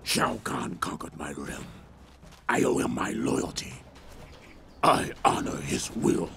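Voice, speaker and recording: An actor playing a grim, loyal warrior in a video game, gravelly, solemn and forceful. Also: male voice, extremely low pitch, very animated, slow, noisy background, full character voice. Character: grim, loyal male warrior of a conquered realm. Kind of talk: video game cutscene acting. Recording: loud music behind the voice.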